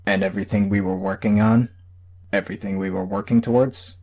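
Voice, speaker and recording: A man's voice: on the low side, steady tone, fairly quick, a little mellow, lightly acted. A man voicing a sceptical, suave male superhero in an audiobook. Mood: reproachful.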